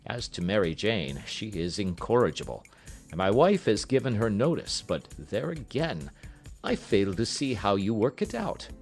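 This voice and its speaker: A man voicing a mild, bemused gentleman doctor in an audiobook, earnest and gently protesting. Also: lowish voice, big pitch swings, moderate pace, faint music behind. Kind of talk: audiobook character reading.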